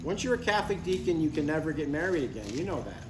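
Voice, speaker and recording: A man's voice: on the high side, very animated, fast. An older man playing a blunt, devout father in a live comedy table read, matter-of-fact and mildly exasperated. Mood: casual, earnest. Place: live reading in a room. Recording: roomy, distant live recording with faint noise.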